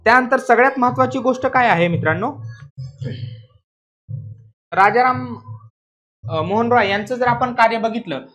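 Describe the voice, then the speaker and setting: A high-pitched man lectures as an exam coaching teacher, stressing and repeating key points and checking understanding with questions. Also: normal speed, long pauses, a bit bright, soft background music. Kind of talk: classroom lecture explaining answers.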